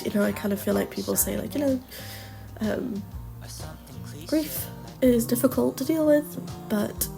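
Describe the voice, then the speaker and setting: A woman's voice: a little high, vocal fry. A woman with a nasal voice from a cold, rambling chattily with many ums through a casual voice-over over a game build. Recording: music playing behind the voice.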